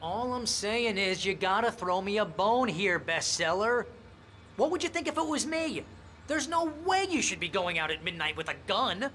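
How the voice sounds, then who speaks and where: An actor playing a nervous, sarcastic American best friend and agent in a video game cutscene. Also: male voice, high-pitched, big pitch swings, normal speed, pushed and bright, a little hiss or hum, heavily acted. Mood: exasperated, pleading. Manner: sarcastic, fussing, exasperated.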